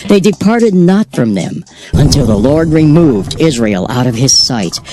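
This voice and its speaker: Male narrator, dramatized scripture, measured solemn delivery, expressive pitch, loud music bed. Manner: measured, solemn reading cadence.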